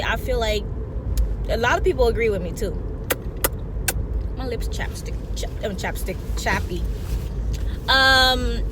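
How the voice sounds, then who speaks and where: A young woman vlogging a casual, chatty storytime from a car, animated and rambling, often interrupting herself. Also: a little high. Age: young adult. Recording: noisy car interior with traffic hum.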